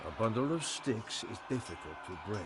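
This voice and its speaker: An actor playing an honored, solemn general of the Khmer Empire, narrating in a grave, formal, measured way for a strategy game. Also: male voice, on the low side, animated, very noisy, lightly acted. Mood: reflective, wry. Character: honored old-world Khmer general, solemn, weathered commander. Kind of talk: dramatic scripted game narration.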